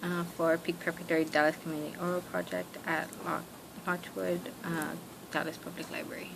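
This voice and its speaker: A woman's voice: fairly low pitch, little pitch movement, fairly quick, gravelly. A young woman interviewing for an oral history project, asking hesitant questions full of fillers and "like". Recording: noisy recording with room hiss.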